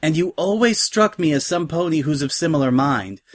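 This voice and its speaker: A man's voice: fairly high pitch, expressive, normal speed, pitched above the performer's own voice. A man voicing a smug, genteel female pony pirate captain in a storybook, airy, polite and wordy. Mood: breezy, smug.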